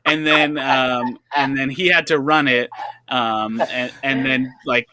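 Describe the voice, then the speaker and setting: A man's voice: mid-range voice, fairly even intonation, slow pace, background noise. A man co-hosting a comedy show, casually telling anecdotes in group banter.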